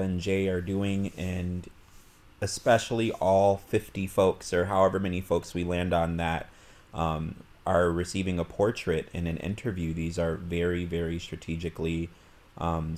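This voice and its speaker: A man from Illinois introducing himself as a digital media producer, speaking in a measured, earnest way. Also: deep voice, a little mellow. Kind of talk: casual group introduction.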